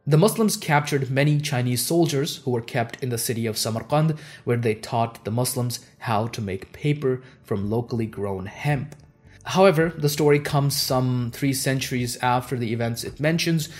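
A man whose first language is Urdu, giving a measured, scripted history narration and stressing the transition words. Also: mid-range voice.

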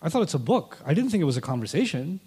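A man's voice: fairly high pitch, very fast, slightly rough. A man whose first language is Urdu, a guest telling a personal story and acting out past conversations. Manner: animated, acts out past conversations. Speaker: interview guest.

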